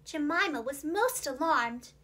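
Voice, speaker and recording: A woman narrating a children's storybook in an expressive, clearly enunciated storyteller's manner for young listeners. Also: high-pitched, unhurried. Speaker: preschool teacher and children's storyteller.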